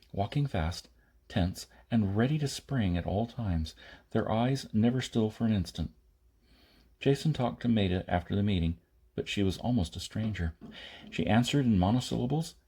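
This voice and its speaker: A man reading a science fiction novel aloud as an amateur audiobook narrator, in short phrases with pauses and the odd stumble. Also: fairly low pitch, mellow, husky. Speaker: audiobook reader.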